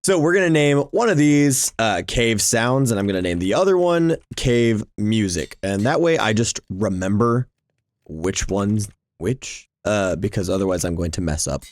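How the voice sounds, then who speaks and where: Animated man doing casual game commentary. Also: lowish voice, normal speed, no echo. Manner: animated, exclaiming, talking to himself. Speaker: gaming YouTuber hosting a Minecraft series.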